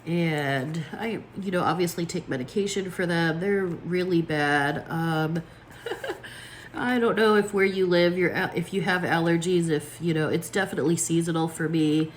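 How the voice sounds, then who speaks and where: Planner vlogger, woman, slightly low, froggy from allergies, easygoing chatter, faint room hiss. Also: unhurried. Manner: chatty, lots of fillers, talks while placing stickers. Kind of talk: casual chat while crafting.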